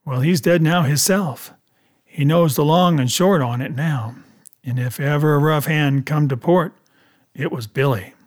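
A man's voice: fairly high pitch, a little slow, a little mellow, natural voice. Man doing a surly, growling pirate coxswain. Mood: grimly admiring. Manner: growling, rough sailor speech. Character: surly, impatient, rebellious male pirate coxswain. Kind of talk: dramatised adventure audiobook.

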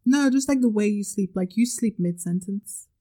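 A woman's voice: mid-range voice, moderate pace, mellow. Young Scouse woman, podcast co-host, chatty and laughing banter. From Liverpool, England. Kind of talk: casual chat.

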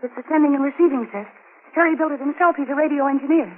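An actress with an American accent playing an anxious, protective housewife in an old-time radio thriller, earnest and fretful in manner. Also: female voice, sits high, rapid-fire, close to an everyday voice. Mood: wary. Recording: muffled, noisy old radio recording.

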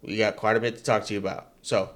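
A man co-hosting a casual political podcast, talking in energetic bursts with frequent restarts. He has a higher voice than his co-host. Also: fast. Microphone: headset mic with headphones.

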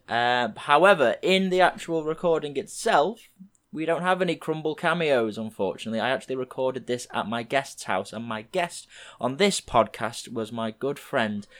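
A young man in his twenties from England, hosting a podcast and talking through a rambling solo intro in a slightly croaky voice. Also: medium pitch. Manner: relaxed, rambling, with frequent fillers. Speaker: podcast host.

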